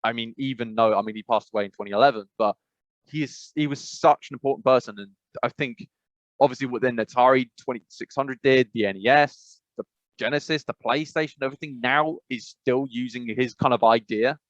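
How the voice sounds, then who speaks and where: A man's voice: mid-range voice, fairly quick, no room sound. English man, casual podcast chat, rambling, dull dry call audio. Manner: rambling, many restarts and fillers. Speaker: podcast co-host. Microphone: remote call mic.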